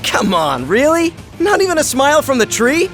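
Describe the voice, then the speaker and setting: An actor playing a playful young superhero in a superhero video game, breezy and full of jokes. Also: male voice, very high voice, huge pitch swings, normal speed, music in the background, exaggerated voice. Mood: teasing. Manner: joking, breezy.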